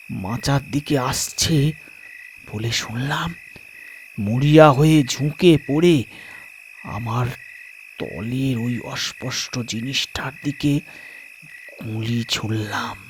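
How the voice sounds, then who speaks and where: A man narrating a scripted suspense story dramatically, in measured short phrases with pauses. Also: mid-range voice, slight background noise. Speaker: storyteller, narrator of audio stories.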